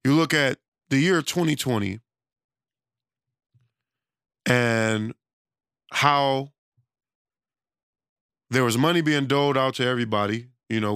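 A man's voice: mid-range voice, moderate pace, vocal fry, pauses often, a bit bright, smooth, no echo. A man, self-employed with a studio, talking in a loose, rambling casual monologue, and losing his voice. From United States. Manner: loose, rambling, conversational, with many restarts and fillers.

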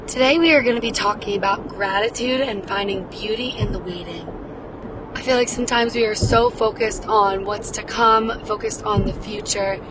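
Upbeat woman, slightly raspy, in a car. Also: medium pitch. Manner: upbeat, encouraging. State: raspy voice from cheering. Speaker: podcast host. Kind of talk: wellness podcast, guided breathing. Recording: car noise in background.

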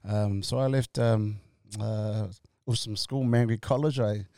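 A man in his forties from Tonga, telling his story as an interview guest in a halting way, full of fillers and restarts, laughing easily. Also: on the low side, fairly even intonation, warm tone, clear, resonant tone, no room sound, clean studio sound. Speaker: guest, company co-founder. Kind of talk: casual interview chat.

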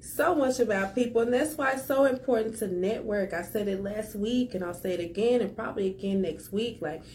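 A woman's voice: mid-range voice, a little fast, darkish tone, slight background noise. A woman hosting a casual podcast chat, speaking in an enthusiastic, chatty way and laughing easily. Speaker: podcast host.

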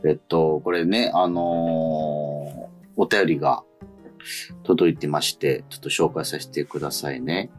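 A man hosting a radio show in casual, polite and expressive talk, reading out listener letters. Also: rumbling low, unhurried, faint music behind.

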